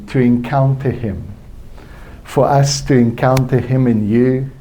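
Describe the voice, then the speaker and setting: A man from South Africa, native Afrikaans speaker with a South African accent, telling a personal story at a conference in a halting, reflective way. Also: medium pitch, dark tone, a little hiss or hum. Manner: slow, halting, reflective with frequent restarts. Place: conference hall.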